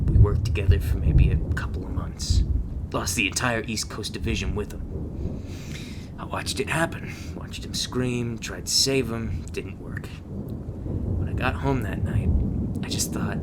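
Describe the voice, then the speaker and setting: A man playing a gruff, emotionally distant veteran monster-hunting agent in an ASMR roleplay, speaking in a blunt, halting, sardonic way. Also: close to an everyday voice. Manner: blunt, halting, sardonic, sighing between thoughts. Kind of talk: ASMR roleplay, intimate one-sided dialogue. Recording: noisy, rumbling thunderstorm-like background sound.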